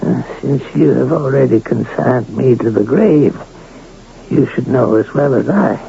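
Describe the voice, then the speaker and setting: Slow, creaky rancher, gruff. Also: male voice, medium pitch, warm tone. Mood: bitter, resigned. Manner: gruff, blunt, folksy. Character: ailing, gruff, luckless gambler rancher father. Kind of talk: 1980 radio drama acting. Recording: dull, noisy vintage radio broadcast.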